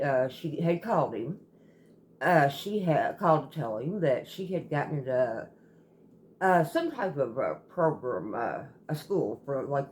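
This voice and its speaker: A woman telling a story casually as a host, in a halting, rambling way that often circles back and repeats. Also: sits low, darkish tone, a little hiss or hum. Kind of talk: casual storytelling, retelling a viewer's account.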